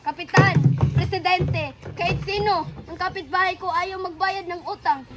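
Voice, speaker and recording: A woman plays a nagging, indignant neighbour in a classroom skit. Her Filipino-accented voice is very high and whiny, exasperated with everyone, and music plays clearly behind her. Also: moderate pace. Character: complaining female neighbourhood resident, nagging and indignant.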